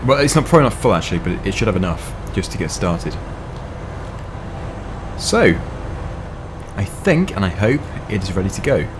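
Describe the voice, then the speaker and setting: A young man, slightly hoarse, chatting casually through a game while thinking aloud with fillers. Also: sits low, big pitch swings, moderate pace, long pauses, noisy background. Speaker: gaming channel host. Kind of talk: casual gameplay commentary.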